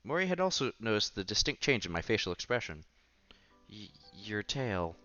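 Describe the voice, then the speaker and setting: Bright-voiced, animated man reading aloud. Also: on the low side, normal speed, dull audio. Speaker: streamer reading a visual novel aloud. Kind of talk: casual read-aloud with offhand commentary. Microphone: headset. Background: soft music.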